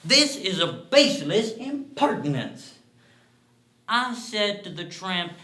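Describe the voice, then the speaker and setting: A man playing a proud, defensive gentleman who blusters indignantly in a dramatic story performance. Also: sits high, big pitch swings, takes it slowly, roomy sound, pitched above the performer's own voice, exaggerated voice. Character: proud, indignant gentleman confronted by his own conscience. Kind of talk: dramatic solo short-story interpretation.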